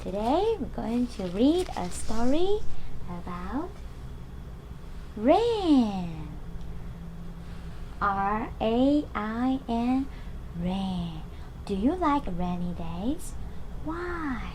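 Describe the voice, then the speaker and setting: A woman's voice: on the high side, sing-song, drawn-out words, pauses often, darkish tone, slight background noise. A young woman reading a picture book to small children, using exaggerated delivery and asking questions as she goes. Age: young adult. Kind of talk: read-aloud story lesson for young children.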